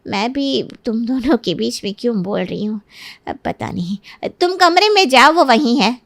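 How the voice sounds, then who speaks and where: A woman's voice: fairly high pitch, lively intonation, normal speed, fairly bright tone, no echo. A woman voicing a scheming middle-aged mother of a bride in a cartoon, nagging and lecturing persuasively. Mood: sighing. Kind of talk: Hindi cartoon story acting.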